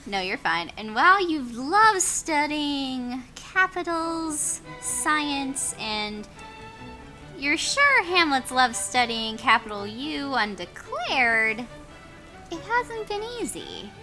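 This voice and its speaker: A woman's voice: high-pitched, very animated, slow, bright, forceful tone, faint music behind, low-quality recording. A woman co-hosting a casual let's play, reading a story game aloud expressively and adding amused asides.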